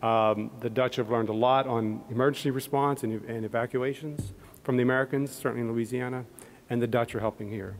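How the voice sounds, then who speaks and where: A man's voice: medium pitch, fairly even intonation, brisk. A middle-aged man with a Pittsburgh accent, speaking conversationally into a podium microphone to an audience in a large hall, with frequent fillers and restarts. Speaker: embassy economist and panel moderator. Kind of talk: public talk at a podium. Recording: long hall reverb.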